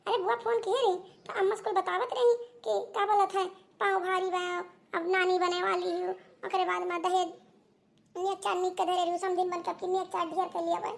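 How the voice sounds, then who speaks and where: A woman's voice: extremely high pitch, little pitch movement, a little fast, vocal fry. A woman voicing a gossipy, teasing young sister-in-law with an Awadhi accent in a comedy cartoon.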